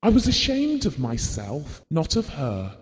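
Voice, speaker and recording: A man's voice: fairly high pitch, huge pitch swings, measured pace. An actor playing an earnest, devoted, guilt-prone middle-aged British husband and father, reminiscing confessionally in an audio drama. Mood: ashamed, confessional. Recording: reverberant hall-like room.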